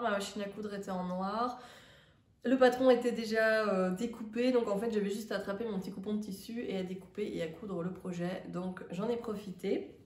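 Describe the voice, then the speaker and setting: A woman's voice: medium pitch, darkish tone. Stuffy-nosed woman, chatty, far from the mic. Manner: chatty, hesitant with many fillers. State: stuffy nose from a cold. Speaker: sewing vlogger. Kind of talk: casual vlog chat. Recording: roomy, distant from the mic.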